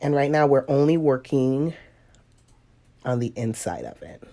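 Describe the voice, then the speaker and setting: A woman's voice: a bass voice, unhurried, mellow. Sniffly woman chatting through a painting lesson. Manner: chatty, laughs often, talks to viewers. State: stuffy nose, sniffly. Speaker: watercolor painting instructor. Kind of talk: casual live tutorial talk.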